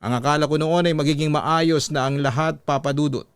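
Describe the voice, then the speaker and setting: Male storytelling narrator, slightly high voice. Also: fairly even intonation, fairly quick, smooth, no room sound, clean studio sound. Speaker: radio drama host and narrator. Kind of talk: scripted first-person story narration.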